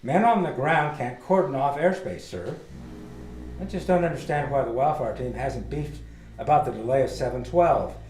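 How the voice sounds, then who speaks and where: A man's voice: mid-range voice, moderate pace, a little mellow, soft background music, natural voice. Man acting a stern major, echoey room. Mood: exasperated. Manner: terse, commanding. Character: stern, suspicious male army major, commanding. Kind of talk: radio drama acting.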